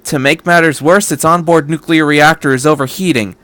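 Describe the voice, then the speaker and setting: A man's voice: on the high side, fairly even intonation, a little fast, a bit bright, no room sound. A man doing amateur story narration with sarcastic asides, stumbling over words and correcting himself. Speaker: fan fiction reader and commentator.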